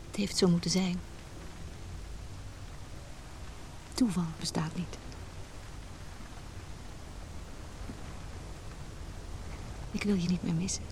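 Low, vulnerable married woman played by an actress. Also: lightly acted. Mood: tender, regretful. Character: vulnerable, earnest married woman torn by forbidden love. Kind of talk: Dutch radio drama acting. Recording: noisy radio play recording.

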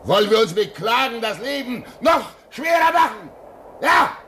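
A man's voice: extremely high pitch, big pitch swings, moderate pace, raised voice, background noise. An actor in a German radio play, playing a pious, fatherly older polar researcher who is earnest, soothing and admonishing. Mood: admonishing, pleading.

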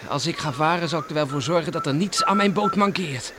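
A man's voice: on the high side, fast, lightly acted. A young man playing a dreamy, teasing teenage farm boy in a radio drama, boyish and matter-of-fact. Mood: proud, dreamy. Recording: faintly noisy old radio recording.

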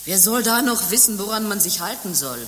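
An actress playing a bitter, world-weary former harlot turned nun in a radio play, with theatrical speech both ornate and coarse. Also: female voice, medium pitch, animated, a little fast, a bit bright, natural voice. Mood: scornful fury. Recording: hissy recording with long reverb.

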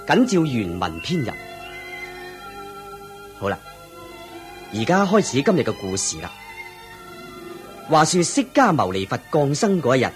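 A man narrating a scripted story. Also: medium pitch.

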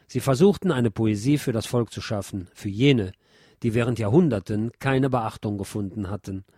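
A man giving a scripted literary talk and reciting poetry in a measured, deliberate, declamatory way, like a radio presenter. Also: fairly low pitch, warm tone, breathy edge, no room sound, clean studio sound.

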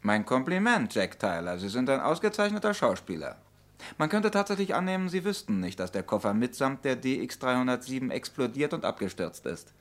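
A man's voice: medium pitch, big pitch swings, a little fast, close to an everyday voice. Guy acting a sarcastic detective in an old radio crime play, pushy questions, doesn't buy a word. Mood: probing, ironic. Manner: ironic, pointed questioning. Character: sardonic, insistent male police investigator, sceptical interrogator. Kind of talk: mid-century German radio crime drama acting.

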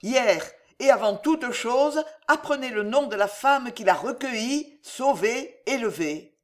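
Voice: mid-range voice, expressive, unhurried, voice pushed up, lightly acted. A reader voicing a fearful old astrologer. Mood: grave. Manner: hesitant, grave and solemn. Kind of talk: audiobook character reading.

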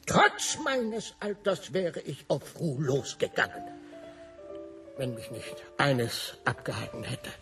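A vain, courtly old French gentleman in a radio drama. His voice is gravelly and theatrical, swinging widely in pitch. He sounds self-important and touchy about his honour, over faint music. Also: wildly swooping pitch, slow pace, distant mic, heavily acted. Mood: pompous, indignant. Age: older. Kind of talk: radio play acting.

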